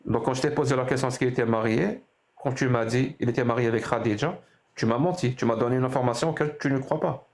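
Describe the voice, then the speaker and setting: A man speaks as the host of a live religious debate. He is methodical and persistent, circling back to his questions and restating them. His pitch sits in an ordinary middle range. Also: fast, a little mellow. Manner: insistent, methodical questioning with repetition.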